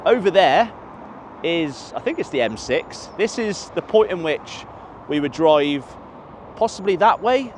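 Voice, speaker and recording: A man's voice: a little high, expressive, a little slow, slight background noise. A man, walking around a football ground and chatting casually to camera in a rambling, self-correcting way. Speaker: football stadium vlogger. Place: outdoors by a stadium near a motorway.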